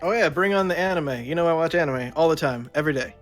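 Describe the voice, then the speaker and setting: A woman's voice: deep voice, fast, faint music behind. A woman chatting and joking casually as a guest on a livestream. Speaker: guest co-streamer.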